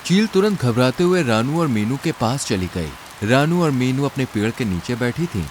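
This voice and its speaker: A man's voice: mid-range voice, big pitch swings, normal speed, a little hiss or hum. A man narrating a scripted children's story.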